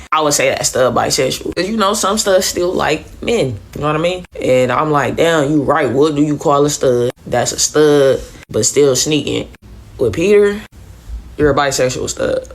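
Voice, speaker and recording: A man chats casually in slang and finds the topic funny. His voice is a little higher than usual for a man. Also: creaky. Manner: amused, laughing, casual slang. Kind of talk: casual chat.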